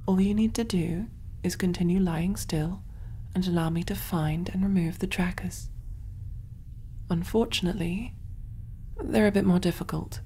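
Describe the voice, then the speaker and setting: A woman voicing a kind, clinical alien surgeon in a gentle, reassuring ASMR roleplay, soft-spoken and often whispering. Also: on the low side, normal speed, a little hiss or hum, natural voice. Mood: soothing, reassuring.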